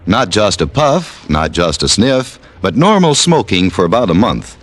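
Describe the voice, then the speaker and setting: A man's voice: lowish voice, very animated, unhurried. A man announcing a 1950s radio commercial with an emphatic, persuasive delivery.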